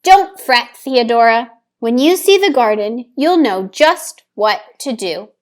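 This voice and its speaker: A woman reading a storybook, voicing a kindly, patient older man in a gentle, reassuring manner. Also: a little high, animated, slow pace. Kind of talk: storybook read-aloud.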